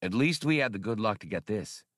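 A man's voice: lowish voice, lively intonation, a little fast, no echo, studio quality. A man voicing a brash, stubborn starship captain in an audiobook, with a blunt, argumentative manner. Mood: practical. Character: brash, stubborn male space pilot captain.

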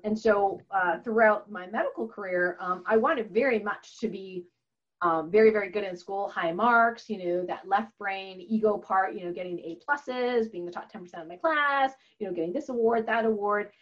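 A woman's voice: mid-range voice, moderate pace. A woman whose first language is Chinese, speaking as an interview guest in an earnest, chatty way with frequent laughs and fillers. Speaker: guest, holistic doctor and author. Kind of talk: conversational interview answer. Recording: slightly dull, lo-fi audio.

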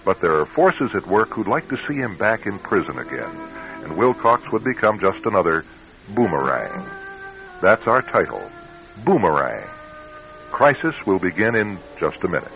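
An American man narrating a radio drama in a measured, grave, dramatic style. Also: deep voice, sing-song, vocal fry, fairly bright tone, heavily acted. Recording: muffled vintage radio drama recording. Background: dramatic music.

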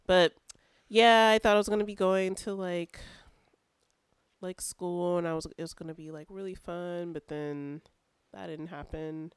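Laid-back young woman, clear voice. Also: fairly low pitch, steady tone, a little slow, dry recording. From California. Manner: laid-back storytelling, laughs between phrases. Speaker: podcast co-host. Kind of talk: casual chat.

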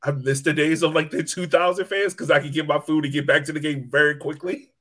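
Male podcast host, slightly high pitch, casual conversational sports talk. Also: fairly even intonation, brisk, a bit bright, husky.

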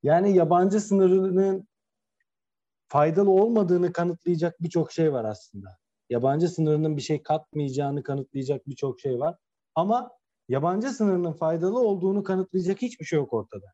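A man's voice: fairly high pitch, normal speed, mellow. A man co-hosting a football podcast, talking casually and making opinionated points with emphatic repetition. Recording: dull, lo-fi sound.

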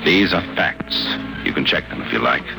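Hard-boiled detective, deep low voice, wry tough-guy banter, old radio broadcast with loud music. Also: lively intonation, moderate pace, vocal fry, exaggerated voice. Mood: grim. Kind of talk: 1950s radio drama acting and narration.

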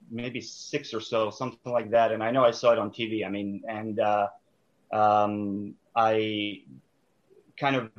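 A man's voice: medium pitch, muffled recording. A young man from Serbia, a guest in an interview, answering thoughtfully with many fillers and restarts.

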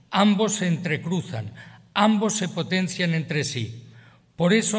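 Man speaking in a reverberant hall. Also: high voice. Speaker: government official giving a tribute speech. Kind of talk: formal ceremonial speech, read out. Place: large indoor hall.

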